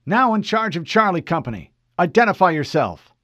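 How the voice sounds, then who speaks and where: A man's voice: sits high, sing-song, moderate pace, fairly bright tone, dry recording, voice pushed up, heavily acted. A man voicing a disciplined male soldier who leads a squad and speaks in formal military radio talk. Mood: controlled.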